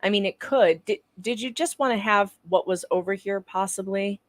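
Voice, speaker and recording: A woman's voice: medium pitch, normal speed, dry recording. A woman doing a dramatic reading of courtroom dialogue in a conversational, theatrical way. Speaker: panelist reading court transcript parts.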